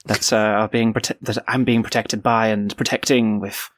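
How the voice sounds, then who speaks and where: A man's voice: lowish voice, little pitch movement, normal speed, no echo, lightly acted. A man voicing a fussy, theatrical, fashion-obsessed thief, affected and given to sighs, in improvised tabletop role-play. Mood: approving.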